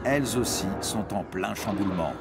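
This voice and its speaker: A man's voice: fairly low pitch, moderate pace. A man narrates an educational cartoon script playfully, speaking directly to a young listener. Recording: prominent music behind narration. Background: music.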